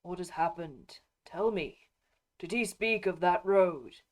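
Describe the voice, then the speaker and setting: A woman's voice: fairly low pitch, takes it slowly. A woman reading aloud, voicing an old king who questions his grieving daughter. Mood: concerned. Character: old king, concerned and commanding.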